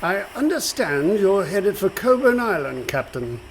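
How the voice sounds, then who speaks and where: An actor playing a polite, smoothly authoritative navy captain in a comedic radio play, with background noise. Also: male voice, sits high, big pitch swings, unhurried, full character voice. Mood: courteous, insistent.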